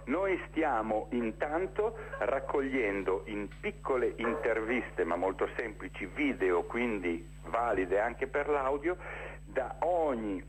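A man speaking spontaneously as an interview guest, explaining things with mid-phrase pauses and repeated words for emphasis. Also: medium pitch, expressive, a little hiss or hum. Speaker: interview guest, theatre director presenting a festival. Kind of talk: spontaneous informational interview talk.

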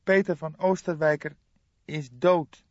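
A man voicing a keen, doubtful young police detective in animated, questioning audiobook dialogue. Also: on the high side, measured pace, voice pushed up, close to an everyday voice. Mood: grave, shaken. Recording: dull lo-fi, boxy roomy sound.

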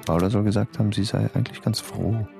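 An actor playing a shaken stage actor who reads his letter aloud in a measured, low-key way. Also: fairly even intonation, creaky, music in the background. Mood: grave. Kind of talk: letter read as a narrated voice-over. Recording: dry close studio recording.

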